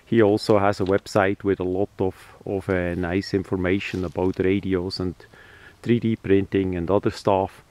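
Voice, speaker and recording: A man explains things informally and hands-on, in halting, phrasing. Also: low-pitched, flat delivery, darkish tone, no room sound. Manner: halting, hesitant phrasing with non-native grammar. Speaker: radio hobbyist presenter.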